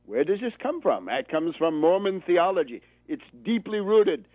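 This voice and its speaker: American male radio commentator, sardonic and emphatic, slightly high-pitched, dry phone-quality audio. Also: sing-song, normal speed, no echo. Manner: emphatic, rhetorical, sardonic, with repeated phrases. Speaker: political radio show host. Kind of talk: political commentary monologue. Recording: narrow phone-like sound, dry.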